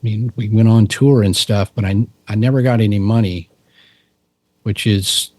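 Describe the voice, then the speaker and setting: A middle-aged man from Texas with a low voice, chatting as a podcast guest in a relaxed, rambling way with frequent restarts. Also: moderate pace, no echo. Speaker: podcast guest, musician and cryptid researcher. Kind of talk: casual interview storytelling.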